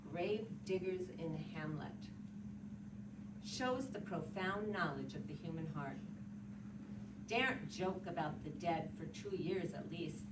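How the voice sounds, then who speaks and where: A woman giving a dramatic literary reading of a musing, wry, morbidly curious man at a funeral, in drawn-out short phrases. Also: mid-range voice. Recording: echoey, distant, very noisy room.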